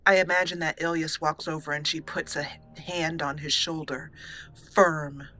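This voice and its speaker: A woman's voice: deep voice, fairly even intonation, moderate pace, bright, forceful tone. A woman hosting a let's play with casual gameplay commentary, chatty and thinking aloud.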